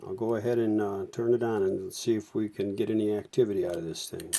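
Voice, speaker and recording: A man's voice: lowish voice, fairly even intonation, quick pace, gravelly, warm tone, a little hiss or hum. A middle-aged man giving a casual hands-on repair tutorial, talking in an informal, folksy way as he works. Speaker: host of a vintage radio repair channel.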